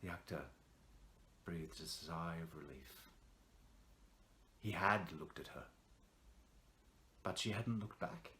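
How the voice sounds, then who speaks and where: A man reading a scripted horror story in a theatrical, suspenseful way with dramatic pauses, as an actor would. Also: low-pitched, animated, bright, forceful tone.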